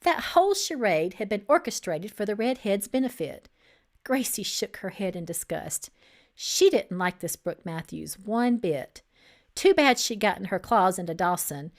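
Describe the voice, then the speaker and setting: Animated woman narrating a novel. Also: mid-range voice, normal speed. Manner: dramatic, expressive. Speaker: author reading own novel. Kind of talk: expressive storybook narration.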